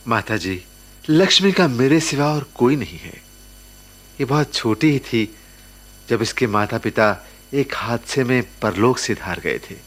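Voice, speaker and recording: An actor in a radio drama playing a worried middle-aged uncle, earnest and deferential in manner. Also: male voice, medium pitch, expressive, measured pace. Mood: respectful, troubled. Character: worried middle-aged uncle, respectful guardian of his niece.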